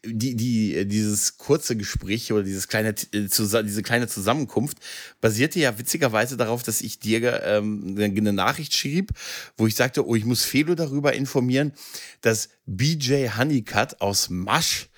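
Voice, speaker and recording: A man's voice: on the low side, moderate pace. A man chatting casually as a podcast guest, very colloquial and often checking that the listener follows. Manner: colloquial, often seeking agreement with tag questions. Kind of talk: casual chat.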